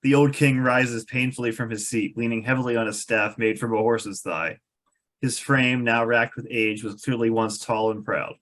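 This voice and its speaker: A man's voice: medium pitch, fairly even intonation, moderate pace, fairly bright tone. A man reading stage directions as the narrator of a scripted table read.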